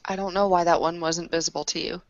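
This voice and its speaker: A woman improvising in character in a tabletop roleplaying session, with hesitant, halting phrasing. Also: on the low side, level tone, no room sound. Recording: dry, dull lo-fi audio.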